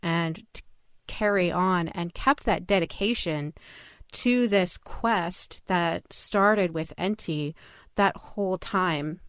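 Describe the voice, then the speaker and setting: A woman's voice: lowish voice, slow pace, a bit bright, clean tone, no room sound, clean studio sound. A woman co-hosting a podcast in casual, analytical discussion. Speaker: podcast co-host.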